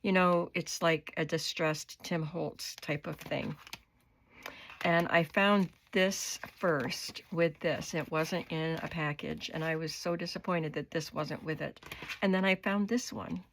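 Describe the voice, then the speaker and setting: An older woman talks through her craft haul in a slow, easygoing way, her voice hoarse from a cold. Her low tones carry a gravelly fry, and a faint hiss sits under the recording. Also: fairly even intonation, a bit bright. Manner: chatty, unhurried, describing items up close. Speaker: craft vlogger. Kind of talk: casual show-and-tell haul. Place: home craft studio.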